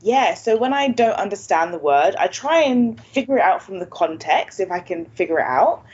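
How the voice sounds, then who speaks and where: A woman's voice: mid-range voice, expressive, moderate pace, darkish tone, husky. A native English-speaking woman hosting a language-learning video, talking casually and laughing often. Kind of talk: casual chat. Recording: dull lo-fi sound.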